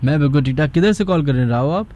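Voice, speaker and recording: A man's voice: a little high, lively intonation, rapid-fire, dark tone, dull audio. A man with a Pakistani accent hosting a late-night horror radio show, talking to listeners and callers. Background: background music.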